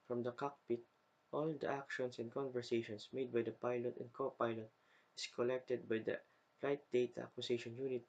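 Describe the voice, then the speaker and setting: A man's voice: mid-range voice, darkish tone, muffled recording. A young man reads a scripted slide narration aloud, carefully and phrase by phrase, as a student presenter.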